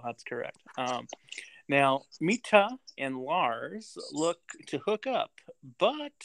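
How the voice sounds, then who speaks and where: A man from the United States hosting a casual movie podcast, halting with many ums and frequent laughs. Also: on the high side, fairly bright tone. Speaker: podcast host.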